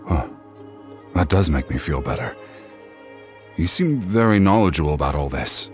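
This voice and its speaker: An actor playing a weary, regretful warrior in a video game, solemn and earnest. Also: male voice, very deep voice, animated, normal speed, faint music behind. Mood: grateful, subdued.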